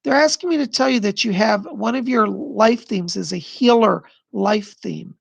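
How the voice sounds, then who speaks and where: A man hosting a live stream of psychic readings, answering viewer questions in an effusive, chatty way and pausing to listen to spirits. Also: high-pitched, vocal fry, no echo, muffled recording. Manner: pauses to listen to spirits mid-sentence.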